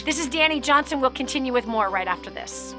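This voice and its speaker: High bright emphatic woman host. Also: expressive, fast, faint music behind. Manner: emphatic, preachy, swells louder on key words. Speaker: radio show host. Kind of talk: motivational coaching talk.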